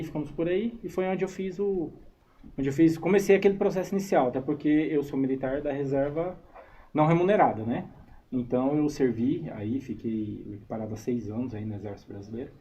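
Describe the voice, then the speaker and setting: A man's voice: a little high, a little fast, warm tone. A man from São Paulo state with a Brazilian accent, chatting casually as an interview guest and often adding little checking tags. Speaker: interview guest, army reservist and combatant. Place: radio studio. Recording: dull, noisy studio live stream.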